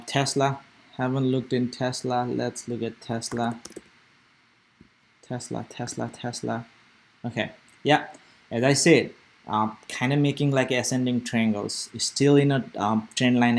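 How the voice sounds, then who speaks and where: A man's voice: medium pitch, level tone, unhurried, gravelly. A young man from Nepal, giving casual live commentary on stock charts and rambling aloud with many fillers and restarts. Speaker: stock trading streamer.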